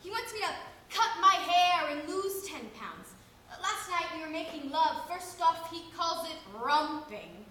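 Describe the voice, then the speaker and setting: A woman's voice: very high voice, animated, a little slow, bright, forceful tone, slight background noise, exaggerated voice. A woman performing a comic monologue as a flustered young woman who rambles, stumbles over her words and laughs at herself. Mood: flustered rambling. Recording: very echoey room.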